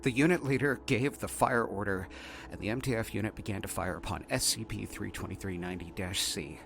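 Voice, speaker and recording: A man playing a shaken, exasperated researcher, haltingly dictating an incident report in an audio drama. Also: on the low side, vocal fry, fairly bright tone. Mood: formal, uneasy. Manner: halting, flustered, repeating words. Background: faint music.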